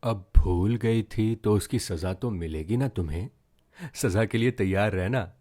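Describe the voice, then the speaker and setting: A man's voice: fairly low pitch, measured pace, warm tone. A man playing a young husband in a story, sceptical, teasing and amorous, with a dismissive way of talking.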